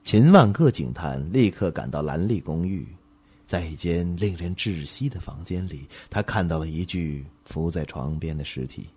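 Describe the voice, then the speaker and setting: Male suspense narrator, expressive storyteller, lowish and very animated. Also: normal speed, breathy edge. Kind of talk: dramatic scripted narration.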